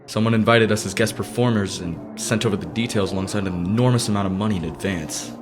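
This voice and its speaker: A serious teenage boy idol acted by a man speaks in a low voice with formal, measured phrasing. He is proud and quick to feel slighted, as in an anime dub. Loud music plays underneath. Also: close to an everyday voice. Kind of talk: anime fan dub acting.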